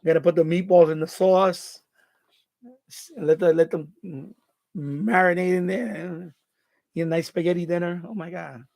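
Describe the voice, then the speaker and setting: Bronx-accented man, high gravelly voice. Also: fairly even intonation, normal speed, a little mellow. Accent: Bronx New York. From born in Puerto Rico, raised in the Bronx. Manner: rambling, repeats and restarts words, reads chat aloud. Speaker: live stream host. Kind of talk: casual live-stream chat reading viewer comments.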